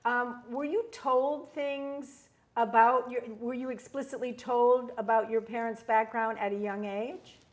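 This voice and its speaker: Woman host, higher voice; curious, encouraging interviewer with long questions. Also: steady tone, a little slow, few pauses. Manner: curious, encouraging, backchannels often.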